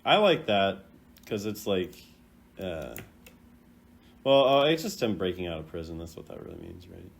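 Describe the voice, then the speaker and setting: A man's voice: deep voice, very animated, moderate pace, long pauses. A man giving casual, off-the-cuff commentary as a video host, rambling and playfully opinionated. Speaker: video host rating game voice lines.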